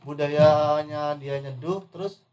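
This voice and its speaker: A man's voice: on the high side, fairly even intonation, takes it slowly, darkish tone. Young indonesian barista guy, laid back rambling chat, lots of restarts. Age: young adult. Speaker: guest barista. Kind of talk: casual podcast chat.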